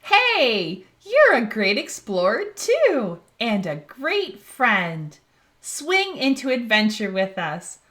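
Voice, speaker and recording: A woman's voice: a little high, wildly swooping pitch, slow pace, a bit bright, exaggerated voice. A woman reading aloud as a cheerful young girl explorer in a children's storybook, asking listeners questions and praising them. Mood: cheerful, encouraging. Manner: asks the listener questions, then praises the answer.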